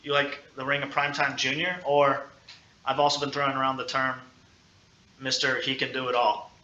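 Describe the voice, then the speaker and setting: A male sports podcast host with a very bright, punchy voice. He talks casually and playfully, teasing and hyping up his guests. The sound is noticeably roomy. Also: mid-range voice, little pitch movement, fairly quick, dull audio, slight background noise. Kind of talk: casual sit-down sports interview.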